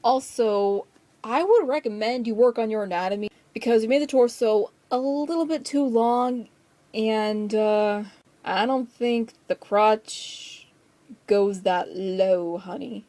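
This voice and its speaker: A woman's voice: on the high side, slow pace, warm tone, no echo. A woman giving casual rant commentary as a video maker, with a sarcastic, scolding way of talking.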